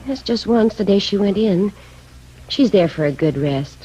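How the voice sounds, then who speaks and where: An actress playing a polite, evasive middle-aged American housekeeper who speaks in a genteel, hesitant, self-excusing way in a 1950s radio drama. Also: female voice, medium pitch, animated, normal speed, warm tone, breathy edge. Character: polite, evasive housekeeper, a paroled murderess hiding something. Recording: muffled, faintly noisy 1950s radio recording.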